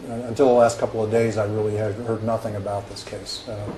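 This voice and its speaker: A man questioning a witness in a formal deposition, halting and full of hesitations and restarts. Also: lowish voice, level tone, dark tone. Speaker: examining attorney. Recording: echoey room with background noise.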